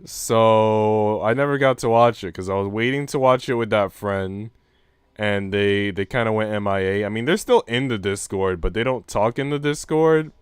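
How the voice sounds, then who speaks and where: A man's voice: lowish voice, moderate pace, clear, resonant tone, no room sound. A young man hosting a livestream, speaking informally into a close mic. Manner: slangy, swearing, informal. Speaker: livestream host. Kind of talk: casual livestream chat.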